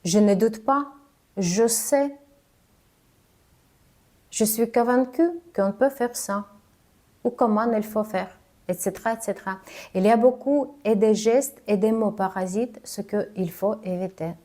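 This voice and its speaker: A woman coach giving spoken advice on confident speaking, halting and careful as she talks in a language that is not her first. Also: medium pitch, mellow. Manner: careful, halting delivery of a non-native speaker. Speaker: communication coach.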